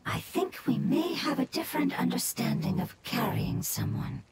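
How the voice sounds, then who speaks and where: Soft, wistful fungus creature, music behind. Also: female voice, sits high, sing-song, creaky, exaggerated voice. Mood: gentle, thoughtful. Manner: measured, formal, reflective. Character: gentle floating water fungus creature, thoughtful and wistful. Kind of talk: video game dialogue. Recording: game music under the voice.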